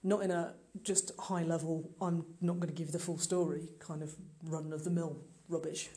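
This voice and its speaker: Middle-aged podcast host, mellow dark tone, medium pitch, informal solo monologue, earnest, emphatic, direct address. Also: little pitch movement, moderate pace. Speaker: podcast host and online marketing business owner.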